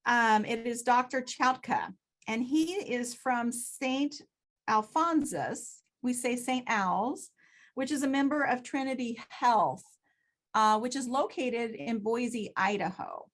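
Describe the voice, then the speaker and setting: Higher-pitched woman hosting an event. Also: animated, slow pace, a bit bright. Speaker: event host. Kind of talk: informal introduction of a speaker. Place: online video meeting.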